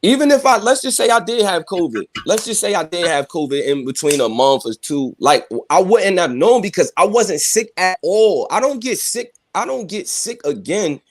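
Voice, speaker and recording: Young man, fairly high voice, insistent, keeps restarting, casual call audio. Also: expressive, normal speed, hardly any pauses. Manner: insistent, repeats himself, restarts often. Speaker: guest on a panel stream. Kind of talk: casual heated discussion.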